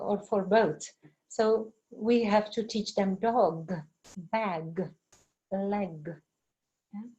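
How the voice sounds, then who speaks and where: A middle-aged woman with a Czech accent, a native Czech speaker, teaching in an informal webinar with halting, searching delivery. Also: on the high side, a little mellow, low-quality recording. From Czech Republic. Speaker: language teacher giving a webinar. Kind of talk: informal lecture with explanations and answers.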